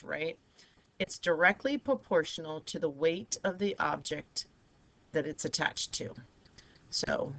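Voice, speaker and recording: A woman teaching a math lesson with a raspy, hoarse voice, talking students through each step of the problems. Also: deep voice, fairly bright tone. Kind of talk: recorded math lesson over a digital whiteboard.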